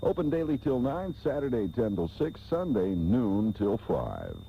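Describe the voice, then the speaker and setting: A man's voice: medium pitch, huge pitch swings, unhurried, creaky, a little hiss or hum. A man reading a retail radio commercial as an announcer. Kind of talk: radio advertisement read. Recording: tinny, humming old radio tape.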